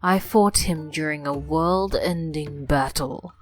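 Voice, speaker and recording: A woman playing a confident, ancient fantasy adventurer in an audio drama, cool, measured and commanding. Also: low voice. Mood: steely. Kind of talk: acted audio fiction dialogue.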